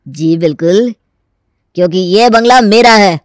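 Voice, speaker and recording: A man's voice: sits high, measured pace, no room sound, clean studio sound. An actor playing a stubborn, crafty young village man who rents a room, folksy and mock-polite as he argues in a comic story. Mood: firm, threatening. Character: stubborn, crafty young rural male tenant with cattle. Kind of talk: Hindi comic story dramatization.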